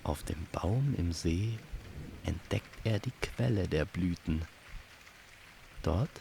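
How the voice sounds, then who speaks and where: A man narrating a scripted fantasy story in a measured, descriptive storytelling manner. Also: on the low side, creaky, background noise.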